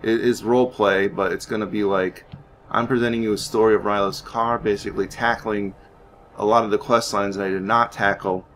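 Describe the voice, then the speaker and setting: A man's voice: lowish voice, fairly even intonation, brisk, fairly bright tone. A man hosting a gaming series, talking informally as he explains his roleplay playthrough and tells its story. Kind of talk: roleplay let's play commentary with story narration.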